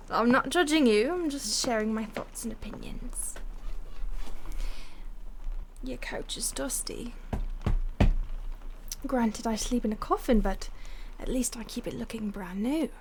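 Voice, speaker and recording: Woman acting a breathy, high-pitched seductive vampire. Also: lively intonation, unhurried, noisy background. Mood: playful disdain. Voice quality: breathy, often dropping to a whisper. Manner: teasing, haughty, theatrical. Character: playful, condescending female vampire stalker, predatory and seductive. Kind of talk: intimate audio roleplay addressed to the listener.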